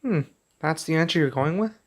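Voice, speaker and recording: A man playing a young king and doting expectant father in an intimate ASMR roleplay, speaking softly and affectionately with some hesitation. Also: a little high, expressive, a little fast. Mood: playful, affectionate. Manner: soft, affectionate, hesitant delivery.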